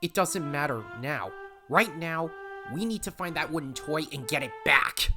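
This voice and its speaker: A woman's voice: low-pitched, lively intonation, normal speed, music in the background. A woman voicing an earnest, devoted young male raccoon adventurer in an audio drama. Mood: determined. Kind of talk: audio drama acting and narration.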